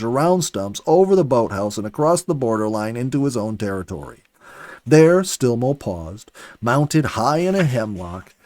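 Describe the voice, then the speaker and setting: A man reading a story aloud in an expressive, dramatic audiobook style, with emphatic swells and softer phrase endings. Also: medium pitch, no room sound. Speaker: story reader, host of a children's story program. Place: dry, close studio recording.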